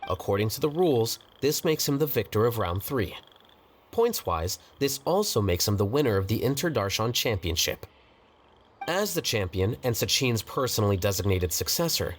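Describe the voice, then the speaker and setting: An actor playing a cool, blunt scribe in a video game, speaking in a measured, dry, formal way. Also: male voice, mid-range voice, slight background noise, close to an everyday voice. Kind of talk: English video game voice acting.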